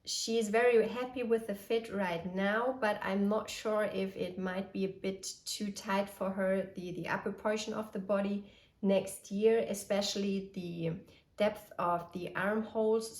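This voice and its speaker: A woman's voice: mid-range voice, darkish tone. Woman with a cold talking knitting. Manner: cheerful, detailed, slightly hesitant. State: getting over a cold. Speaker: knitting podcast host. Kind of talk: casual show-and-tell. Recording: echoey room.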